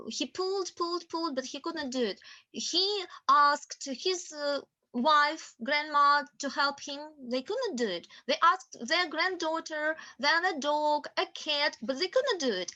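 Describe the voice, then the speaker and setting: High, bright-voiced Russian-accented woman. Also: rough recording. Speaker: discussion facilitator. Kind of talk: casual group discussion of a folk tale.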